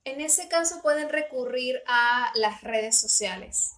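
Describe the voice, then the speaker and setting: A woman from Peru co-hosts a relaxed podcast chat, her voice high and bright. She hesitates often and fills her pauses. The room around her rings with echo. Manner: hesitant, many fillers. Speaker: podcast co-host.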